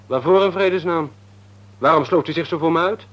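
An actor playing a cynical, guarded young layabout in a Dutch radio play, insolent and offhand, with a Netherlands accent. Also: male voice, a little high, a little fast, muffled recording, lightly acted. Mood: evasive, guarded. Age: young adult. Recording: radio drama recording.

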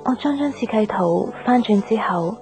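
An actress playing a gentle young career woman in love in a radio drama, speaking Hong Kong Cantonese. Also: mid-range voice, animated, normal speed, natural voice, poor audio. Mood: wistful. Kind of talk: radio drama narration and dialogue. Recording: music behind the voice.

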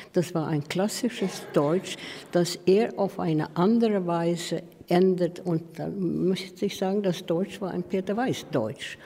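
A woman reminisces in simple, short statements. Her voice is dark and mellow, and it rings with a long reverb. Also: lowish voice. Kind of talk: informal interview reminiscence.

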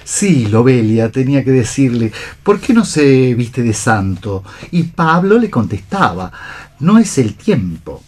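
An actor playing a fussy, pedantic prompter in a radio play, with a theatrical manner. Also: male voice, mid-range voice, very animated, measured pace, darkish tone. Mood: nostalgic.

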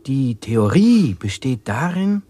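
An actor playing a humble man who asks for a new name, in a radio play, speaking slowly and deliberately. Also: mid-range voice, big pitch swings, dark tone, breathy edge, full character voice. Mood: earnest. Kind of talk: 1980s radio drama acting.

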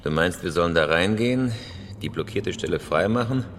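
A man's voice: deep voice, expressive, brisk, slight background noise. A man playing a laconic professional thief in a radio crime drama, asking terse, probing questions.

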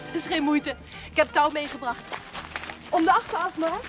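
A woman's voice: high voice, normal speed, music in the background, natural voice. A woman playing a practical, helpful farmer's daughter in a village, matter-of-fact, in a television drama. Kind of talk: 1970s television drama acting.

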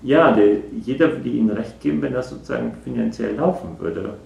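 A man's voice: mid-range voice, fairly quick, gravelly, warm tone, roomy sound, highs cut off. An actor playing a psychologist in a naturalistic radio drama, hesitantly probing and repeating back what he is told. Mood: mildly skeptical. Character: evasive male psychologist, soft, probing, echoing every answer. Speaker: psychologist conducting an assessment.

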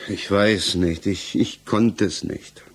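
Low, husky, defensive male suspect. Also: fairly even intonation, takes it slowly. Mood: uneasy, defensive. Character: defensive, troubled male suspect under interrogation. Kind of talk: German 1960s radio play acting.

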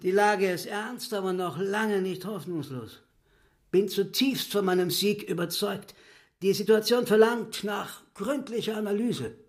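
An actor playing a vain, sulky trickster tomcat in a radio play, with a husky, creaky voice and pompous, wordy delivery. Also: male voice, high voice, measured pace. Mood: scheming, blustering. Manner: pompous, wordy, mock-dignified.

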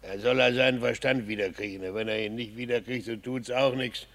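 A man's voice: medium pitch, steady tone, brisk, a bit bright, lightly acted. A man in a radio drama playing a cheeky, earthy peasant gravedigger who drawls colloquially and quibbles. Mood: cheeky, quibbling. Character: cheeky male gravedigger, earthy peasant clown, singing at work. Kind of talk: classical radio drama acting.

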